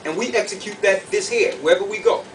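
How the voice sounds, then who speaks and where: Guy with a high voice teaching bible verses, insistent, bit distant from the mic. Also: fast. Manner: emphatic, didactic, checks understanding with short questions. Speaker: preacher teaching scripture. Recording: distant mic, faint background noise.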